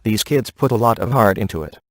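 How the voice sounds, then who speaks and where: Male actor as sardonic ghost hunter, lowish voice, dry studio sound, cocky flirt, glib video game one-liners. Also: animated, brisk, dry recording, natural voice. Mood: teasing, sardonic. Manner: dry, sarcastic, glib delivery.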